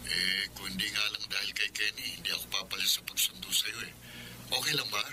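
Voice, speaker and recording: An actor with a Filipino accent playing a young, jealous and evasive boyfriend in a radio drama. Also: male voice, lowish voice, fairly even intonation, brisk, bright, forceful tone, rough recording. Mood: apologetic, smooth. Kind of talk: Tagalog radio drama acting.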